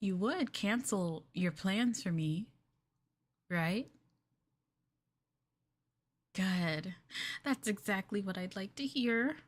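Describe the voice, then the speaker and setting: Yandere girlfriend played by a woman for ASMR roleplay: clingy, adoring, possessive; soft breathy coo, very unhurried, mid-pitched. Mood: sweetly possessive.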